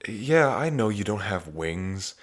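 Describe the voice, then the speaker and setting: A man's voice: on the low side, sing-song, slow, exaggerated voice. A man playing a cocky yet tender young dragon king in his twenties, speaking casually and hesitantly to the listener in an intimate ASMR roleplay. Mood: coaxing, reassuring. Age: 20s. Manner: casual, hesitant, trailing phrasing.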